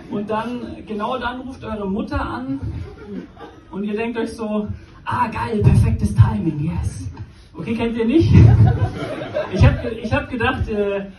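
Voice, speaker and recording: Young comedian onstage, deadpan, lots of ums, highish voice, filmed from the crowd so it's echoey and far off. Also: male voice. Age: young adult. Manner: deadpan delivery with hesitant fillers. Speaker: stand-up comedian. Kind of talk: stand-up comedy set. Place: small comedy club stage. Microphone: handheld mic, filmed from the audience. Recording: roomy club sound, crowd noise.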